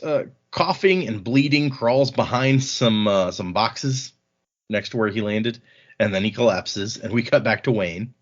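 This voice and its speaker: A man's voice: mid-range voice, a bit bright, muffled recording. A man hosting a podcast, retelling a fantasy novel in casual, colloquial language with wry asides. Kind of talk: casual chat, informal book recap.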